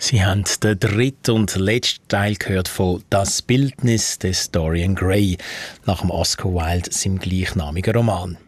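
A man's voice: low-pitched, fairly even intonation, a little slow, no echo. A man announcing the closing credits of a radio play.

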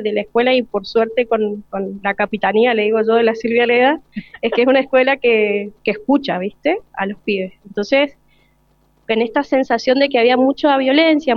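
Argentine lady teacher chatting on radio, highish voice, slightly dull lo-fi sound, dry. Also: no room sound. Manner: colloquial, explanatory. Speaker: school teacher. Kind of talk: informal spoken explanation in a radio interview.

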